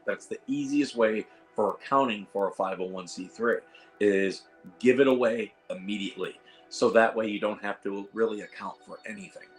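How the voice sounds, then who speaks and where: Hoarse man chatting on a livestream. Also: on the low side, moderate pace, soft background music, poor audio. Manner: rambling, reads chat aloud, frequent fillers. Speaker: host of a veterans livestream. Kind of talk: casual livestream chat. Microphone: iPad.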